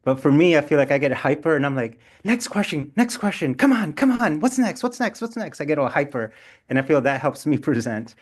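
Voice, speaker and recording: A man's voice: fairly high pitch, fairly quick. A man leading an online seminar discussion, chatty and playful, reacting to participants. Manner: chatty, animated, playful asides. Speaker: seminar presenter.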